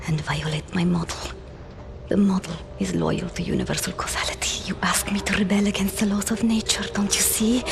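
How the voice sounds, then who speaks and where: An actress playing an eccentric, fatalistic mathematician fighter in a video game, precise, breathy and detached. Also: female voice, mid-range voice, lightly acted. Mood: resigned, wistful. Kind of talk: cinematic video game acting. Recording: music over the voice.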